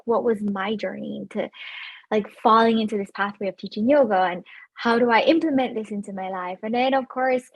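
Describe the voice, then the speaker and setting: A woman's voice: on the high side, breathy edge. A woman with a Jamaican accent, a physician in her thirties, giving chatty, upbeat advice straight to camera with many fillers and restarts.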